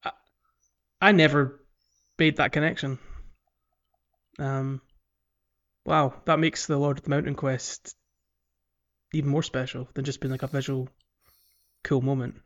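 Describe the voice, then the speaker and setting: Casting: male podcast co-host, medium-pitched, conversational and halting, on a muffled lo-fi track. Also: flat delivery, a bit bright. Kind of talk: casual chat.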